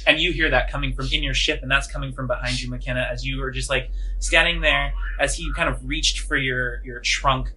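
A man's voice: mid-range voice, steady tone, brisk, sharp tone, a little hiss or hum, poor audio. A man running a tabletop role-playing game as game master, improvising descriptive narration of the scene.

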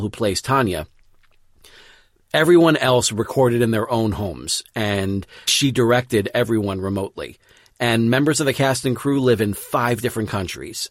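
A man's voice: medium pitch, no room sound. Enthusiastic male podcast host, dry sound. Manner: enthusiastic, emphatic. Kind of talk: semi-scripted show introduction.

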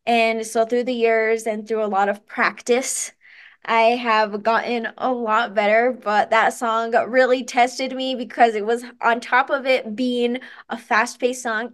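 A young woman with a fairly high voice chats in a relaxed, upbeat way. She talks as a guest singer on an interview show, with plenty of "um"s and false starts. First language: English. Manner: chatty, upbeat, with frequent fillers and restarts. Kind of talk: casual interview chat.

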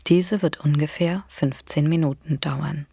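A woman leading a guided imagery relaxation exercise in a soothing, gentle, unhurried way with long pauses. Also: low voice, fairly even intonation, gravelly, slightly rough, no echo, clean studio sound. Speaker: guided meditation instructor.

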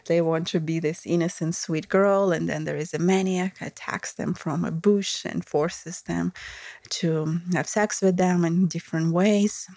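A woman's voice: lowish voice, gravelly, a little mellow, dry recording. A woman with a Russian accent, hosting a podcast and speaking frankly and casually in a candid, matter-of-fact monologue. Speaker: podcast host and intimacy educator.

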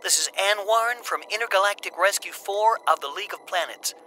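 A man's voice: high voice, a little fast, sharp tone, slight background noise, voice pushed up. A man voicing an urgent young woman who commands a rescue ship, pleading and commanding in turn.

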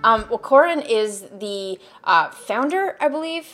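A woman hosts a business podcast in an upbeat, talkative manner. She rambles a bit and fills pauses with ums as she introduces her guest. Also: a little high, animated, a bit bright, faint music behind. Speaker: podcast host. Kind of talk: casual podcast interview.

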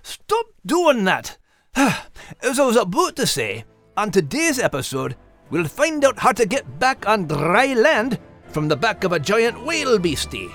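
Brisk, bossy Scottish dog for kids' audio adventure; highish, very animated delivery. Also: male voice, soft background music, exaggerated voice. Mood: playful, bantering. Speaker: podcast co-host in character. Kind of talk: animated character acting for children's audio story.

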